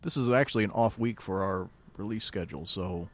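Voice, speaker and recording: A man chatting casually as a podcast co-host, with a deadpan, self-deprecating manner. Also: fairly low pitch, fairly quick. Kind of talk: casual chat.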